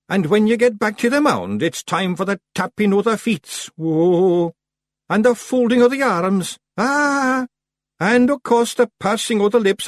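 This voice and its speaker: A man's voice: high-pitched, no echo, clean studio sound, voice pushed up. A man voicing a bossy, boastful little Feegle clan leader in an audiobook, hectoring in Scottish dialect. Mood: lecturing, impatient. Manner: emphatic, hectoring, speechifying in dialect.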